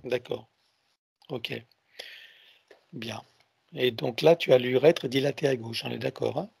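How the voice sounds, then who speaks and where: A man in his sixties, a hypnotherapist on a headset video call, asking calm probing questions between short acknowledgments. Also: mid-range voice, measured pace, gravelly, slightly rough, no echo. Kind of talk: therapy intake interview.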